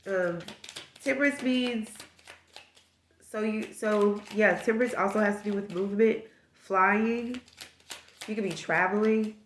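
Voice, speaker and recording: A woman's voice: mid-range voice, a little slow, pauses often, reverberant, a little hiss or hum. A woman with a stuffed-up nose giving a casual tarot reading, musing aloud and rambling through possibilities. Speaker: tarot reader.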